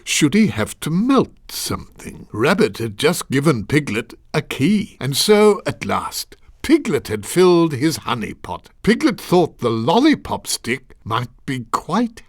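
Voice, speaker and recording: A kindly male storyteller in a children's game. His voice sits a little high and moves up and down with lively inflection. He explains things patiently and musingly, as if reading a storybook to small children. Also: breathy edge, no echo, clean studio sound, exaggerated voice. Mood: gentle storytelling. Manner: measured, gently musing, explanatory. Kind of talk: storybook narration.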